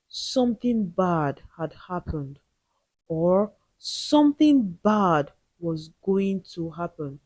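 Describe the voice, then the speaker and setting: Slow, animated woman telling a dramatic story. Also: mid-range voice, dry recording. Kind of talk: dramatic scripted story narration.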